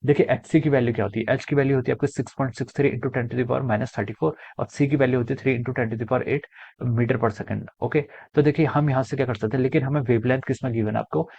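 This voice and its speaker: A man's voice: mid-range voice, steady tone, fast, vocal fry, darkish tone. A man with an Indian accent tutoring students step by step, switching between Hindi and English and often asking questions he then answers himself. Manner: repetitive, frequently asking and answering own questions. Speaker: physics tutor. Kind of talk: step-by-step problem-solving lesson addressed to students.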